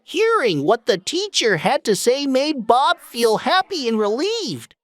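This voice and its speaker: Slow, high-voiced woman storyteller. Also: big pitch swings, dry recording. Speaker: narrator. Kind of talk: scripted children's story narration.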